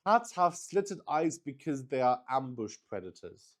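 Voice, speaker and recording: Slow-paced man, casual and hesitant. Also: medium pitch, sing-song. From Luxembourg. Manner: hesitant, thinking aloud. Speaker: solo roleplay stream player. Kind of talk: casual improvised chat while playing.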